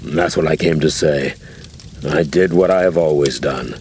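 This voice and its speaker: Game cutscene role: middle-aged gruff father, bottom-of-the-range bass, self-reproaching, slight background noise. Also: darkish tone. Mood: remorseful. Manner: halting, heavy, self-reproaching. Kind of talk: video game cutscene acting. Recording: noisy game audio with ambience.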